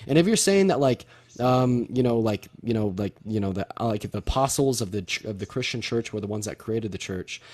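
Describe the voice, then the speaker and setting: A man's voice: lowish voice, animated, brisk, a little mellow. A man hosting a heated, informal debate, arguing in an insistent, combative and taunting way. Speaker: debate host.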